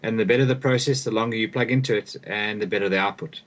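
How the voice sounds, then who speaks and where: A man's voice: on the low side, steady tone, fast, dull audio. A young man from South Africa, a guest author, giving thoughtful conversational answers and often repeating words as he restarts sentences. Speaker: guest author and performance coach.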